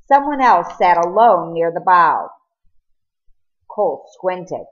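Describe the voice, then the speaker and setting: Low-voiced woman reading aloud, muffled recording. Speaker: audiobook reader. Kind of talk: scripted storybook narration, read aloud.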